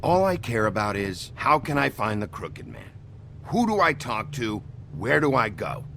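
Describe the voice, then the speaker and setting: An actor playing a gruff, impatient investigator in a video game drama, blunt and confrontational. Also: male voice, on the low side, huge pitch swings, unhurried, background noise, full character voice. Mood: grim resolve. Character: gruff, impatient, hard-boiled male investigator.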